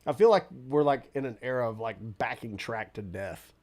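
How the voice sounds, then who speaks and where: A man hosting a casual livestream, answering chat in a rambling, relaxed way with a stuffy nose and lots of fillers. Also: medium pitch, moderate pace.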